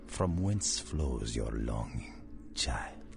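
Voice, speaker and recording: Ancient vampire monster, abyssal gravelly voice. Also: male voice, very deep voice, sing-song, heavily acted. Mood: grandly courteous. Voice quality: gravelly, breathy whispering rasp. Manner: slow, theatrical, menacing, archaic formal phrasing. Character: ancient, sinister, cultured male vampire monster.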